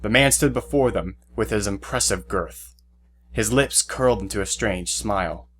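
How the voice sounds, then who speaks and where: A man's voice: lowish voice, moderate pace, a bit bright, a little hiss or hum. A man reading scripted, dramatic story narration for an audio drama.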